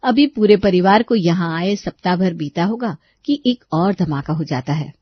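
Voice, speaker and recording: A woman's voice: lowish voice, lively intonation, moderate pace, muffled recording. A woman delivering scripted narration of a story as its narrator.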